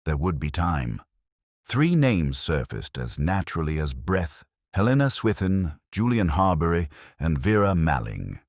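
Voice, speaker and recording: A man performs a thoughtful, literate detective narrating an audio drama in an exceptionally deep voice. The delivery is reflective and formal, yet moves expressively in pitch. The sound is dry, with no room around it. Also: sing-song, unhurried, dry recording, full character voice. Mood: wry, watchful. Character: brooding, literate male police inspector recounting a case.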